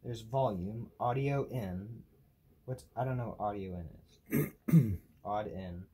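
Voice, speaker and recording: A man's voice: lowish voice, takes it slowly, dark tone. A young man thinking aloud casually in a hesitant, puzzled mutter. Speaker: participant trying out old technology. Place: small cluttered room at a desk indoors. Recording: clear background noise with clicks.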